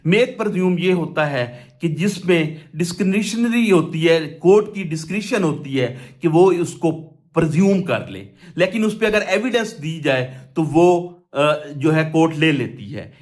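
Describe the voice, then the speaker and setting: Law tutor: man, Pakistani accent, fairly high voice, explains by posing rhetorical questions and repeating key points. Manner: asks rhetorical questions and answers them, repetitive, didactic. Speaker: law lecturer. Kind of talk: explanatory exam lesson, reading articles aloud then explaining.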